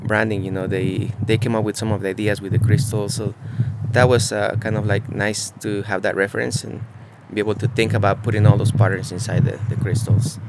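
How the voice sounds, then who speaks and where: A man from Mexico, talking casually outdoors in an on-site interview, halting and searching for words with many fillers. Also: on the low side, flat delivery, heavy background noise. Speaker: muralist interviewee. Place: outdoors beside a mural.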